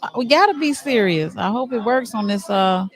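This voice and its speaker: A middle-aged woman with a high voice hosts a casual interview on location. She chats playfully and piles on compliments as she talks. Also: expressive, normal speed. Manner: chatty, playful, effusive with compliments. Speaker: show host and interviewer. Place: on location at a theatre after a stage play.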